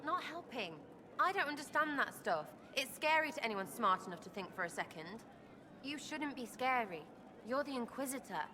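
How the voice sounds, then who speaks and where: A woman's voice: sits high, lively intonation, normal speed, in a large hall, background noise. An actress playing a blunt, wary, irreverent young woman in a video game, with choppy, slangy phrasing. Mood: scornful worry. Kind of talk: video game dialogue acting.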